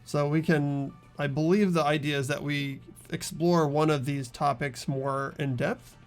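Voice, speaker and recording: Higher-pitched man hosting a casual playthrough. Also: fairly even intonation, a little slow, faint music behind. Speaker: game playthrough host. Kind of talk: casual let's-play commentary.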